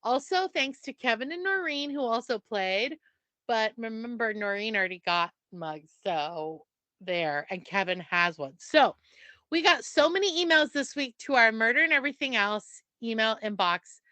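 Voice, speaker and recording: A woman's voice: on the high side, lively intonation, moderate pace, pushed and bright, no echo. A middle-aged woman co-hosting a podcast, chatting casually with a self-deprecating, giggly manner. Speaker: podcast co-host. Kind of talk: casual chat.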